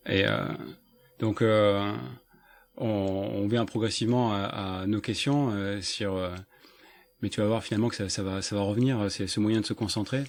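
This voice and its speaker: Host: a man with a fairly low voice, asking questions in halting, searching phrases. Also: steady tone, no room sound. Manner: hesitant, many fillers and restarts. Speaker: podcast host. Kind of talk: conversational interview.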